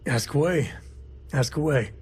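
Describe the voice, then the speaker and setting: A man's voice: fairly high pitch, unhurried, a little mellow, breathy edge. A man playing an easygoing, folksy space explorer and single father in a video game. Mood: relaxed. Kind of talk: video game character dialogue.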